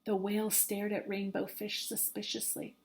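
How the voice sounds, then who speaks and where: A woman's voice: mid-range voice, completely flat delivery, moderate pace. A woman teacher reading a children's storybook aloud, expressively and with dramatic emphasis.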